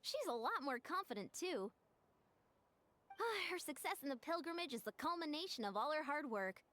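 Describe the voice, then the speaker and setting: A woman's voice: high voice, sing-song, moderate pace, sharp tone, full character voice. A woman voicing an upbeat, encouraging young female coach in an anime game. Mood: friendly, encouraging.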